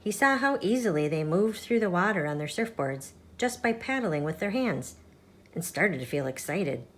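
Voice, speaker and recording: A woman reading a children's picture book aloud as a storytime host, in an expressive, measured storytelling manner. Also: lowish voice, a little hiss or hum. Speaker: storytime channel reader.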